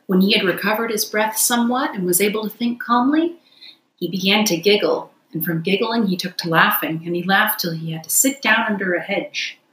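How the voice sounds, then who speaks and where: Lady reading a kids storybook out loud, expressive, medium pitch, echoey room. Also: brisk, a little hiss or hum. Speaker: audiobook reader.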